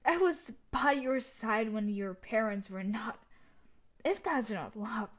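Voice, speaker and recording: Woman acting a domineering, high-voiced yandere bully. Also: animated, takes it slowly. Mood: angry, possessive. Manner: domineering, scolding, emotionally intense. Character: tough, sadistic, possessive yandere bully fiancée. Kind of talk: dramatic audio roleplay addressed to the listener.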